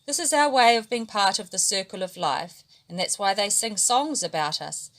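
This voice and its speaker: A calm, wise female eel speaks gently and kindly, as a woman reading a storybook puts her on. The tone is bright and unhurried, the steady voice of a caring friend. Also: mid-range voice.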